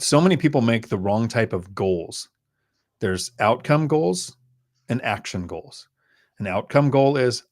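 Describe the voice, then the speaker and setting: Blunt, lower-voiced investor man. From Thailand. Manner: emphatic, instructive, blunt. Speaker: guest real estate investor. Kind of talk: casual podcast advice.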